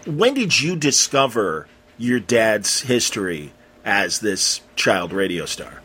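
Husky man interviewing casually. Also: fairly low pitch, very animated, takes it slowly, bright, forceful tone. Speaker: interviewer, host.

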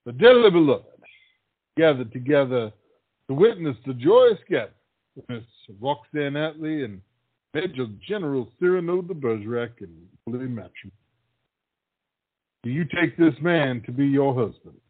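Man playing formal wedding officiant, husky, muffled. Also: mid-range voice, lively intonation, normal speed, long pauses, lightly acted. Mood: solemn. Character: dignified officiant at a colonial wedding. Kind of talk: period comedy audio drama. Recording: muffled lo-fi audio, music underneath.

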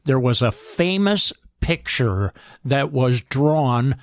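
A man hosting a radio show, interviewing a guest and introducing segments in a measured, announcer-like manner. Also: medium pitch, lively intonation, no echo, clean studio sound.

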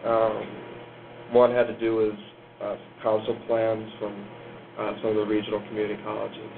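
A young man student reporter, hoarse from losing his voice, reporting haltingly with many pauses. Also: fairly low pitch, monotone, phone-quality sound, a little hiss or hum.

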